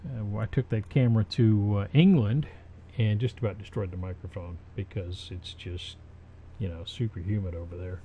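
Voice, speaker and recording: A man presenting an audio tutorial in an informal, rambling way, with halting pauses and personal anecdotes, on a slightly dull recording. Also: lowish voice, fairly even intonation, dark tone, a little hiss or hum.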